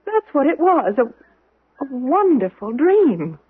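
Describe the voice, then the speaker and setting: A woman's voice: high-pitched, very animated, slow, no room sound, exaggerated voice. An actress in a 1970s radio drama playing a dazed, dreamy woman relieved of guilt, earnest and entranced. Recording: muffled old radio broadcast recording.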